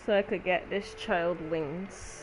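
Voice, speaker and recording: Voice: mid-range voice, steady tone, takes it slowly, noisy background. A gaming streamer chatting casually during gameplay.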